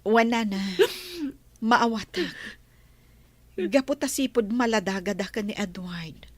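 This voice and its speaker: An actress playing a patient, sympathetic lady of the household in a serial drama, speaking in a soothing, measured way. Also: mid-range voice, no echo, lightly acted.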